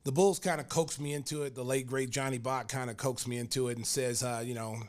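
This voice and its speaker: A man's voice: medium pitch, a little fast. An older man from Oklahoma, a podcast host and former basketball player, telling sports stories in casual talk full of fillers. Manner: animated, laughing storyteller with many fillers.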